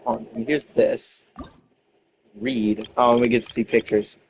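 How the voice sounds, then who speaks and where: A man's voice: mid-range voice, little pitch movement, a little slow, slight background noise. A man giving casual gameplay commentary, rambling and thinking aloud as he goes. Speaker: gamer commenting on own playthrough.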